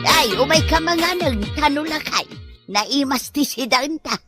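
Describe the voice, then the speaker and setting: A woman with an Ilocano accent playing a wife and mother in a village comedy drama, speaking in an expressive, colloquial way. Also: high-pitched, a little slow, loud music behind the voice. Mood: nagging, coaxing.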